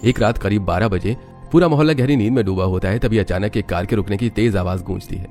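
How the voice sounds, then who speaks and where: A man's voice: lowish voice, fast, darkish tone, faint music behind. A man reading scripted, dramatic narration for a family story.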